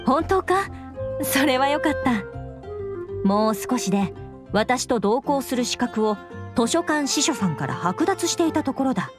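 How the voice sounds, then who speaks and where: An earnest female knight commander in an anime game. The voice is fairly high, formal and firm, with music clearly behind it. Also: very animated, unhurried. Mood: composed.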